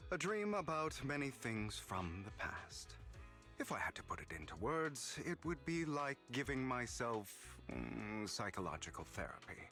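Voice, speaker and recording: An actor playing a theatrical, teasing, wounded young ex-thief in a game's story scenes, sometimes breathy. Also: male voice, mid-range voice, big pitch swings, a little slow, pushed and bright, exaggerated voice. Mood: playful, smug. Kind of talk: scripted game story dialogue. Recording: music behind the voice.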